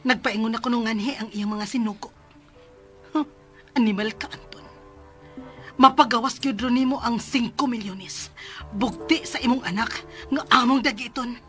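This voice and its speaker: An actress playing a scheming, vengeful villainess in a radio drama. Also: female voice, fairly high pitch, moderate pace, pauses often, a bit bright, close to an everyday voice. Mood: scheming, spiteful. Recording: prominent dramatic music under voice.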